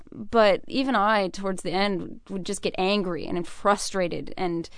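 Clear-voiced young American woman being interviewed. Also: medium pitch, no echo, studio quality. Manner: articulate, earnest, thoughtful. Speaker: interview guest, military veteran. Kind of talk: reflective interview answers.

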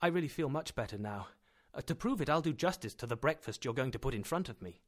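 A man voicing an excitable, inquisitive young nephew in a storybook, eager and questioning. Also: medium pitch, quick pace, lightly acted.

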